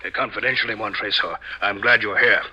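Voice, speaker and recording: A man's voice: on the low side, steady tone, a little fast, lo-fi sound, lightly acted. An actor playing a deferential, hesitant serving man in a 1950s radio drama, confiding and obliging, on a noisy old recording.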